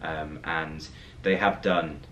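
A young man talking casually to camera in a hotel room, his voice slightly raspy after a late night. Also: rumbling low, takes it slowly, dull audio, slight background noise. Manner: relaxed, talks with hands. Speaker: vlogger. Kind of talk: casual direct-to-camera vlog talk.

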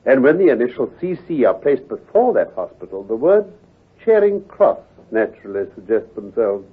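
A husky british detective, confident and a bit condescending, old muffled radio show recording. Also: male voice, mid-range voice, sing-song, moderate pace. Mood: dryly superior. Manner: precise, incisive, patronizing. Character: brisk, keen-eyed gentleman detective, confident and teasing. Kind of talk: old-time radio drama acting.

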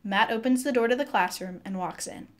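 A woman's voice: on the high side, brisk. A woman giving scripted narration, reading out scene headings and stage directions.

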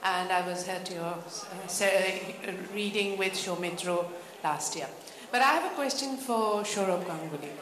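A woman's voice: medium pitch, a little slow, few pauses. A woman from Bangladesh in the audience, asking an earnest, pressing question. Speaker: audience member. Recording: echoey hall, crowd.

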